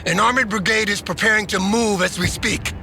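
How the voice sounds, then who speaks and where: An actor playing a gung-ho, authoritative American general giving emphatic orders in a military strategy game. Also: male voice, sits high, steady tone, fairly quick, music in the background. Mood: confident, firm. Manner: commanding, emphatic.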